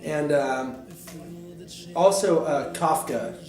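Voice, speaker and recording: A young man and recording artist talking casually about his album in a studio, relaxed and reflective, with lots of fillers. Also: fairly high pitch, drawn-out words, over music. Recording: echoey studio, mic a bit distant.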